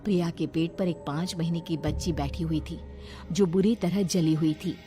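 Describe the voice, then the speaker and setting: A woman's voice: on the low side, steady tone, normal speed. A woman reading a scripted horror story as narrator for a cartoon. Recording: loud music behind the voice. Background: loud background music.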